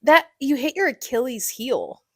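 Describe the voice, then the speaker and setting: A woman's voice: high-pitched, sing-song, moderate pace, slightly rough, dry recording, clean studio sound. A young woman hosting a podcast, chatting casually in a teasing, slangy way with some swearing. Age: young adult. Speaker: podcast host. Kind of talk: casual banter with a podcast guest.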